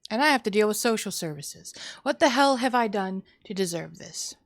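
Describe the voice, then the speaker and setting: A woman's voice: mid-range voice, lively intonation, normal speed, dry recording, studio quality, natural voice. A woman voicing a harsh, blaming parent in a visual novel, with dramatic reproach. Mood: bitter reproach.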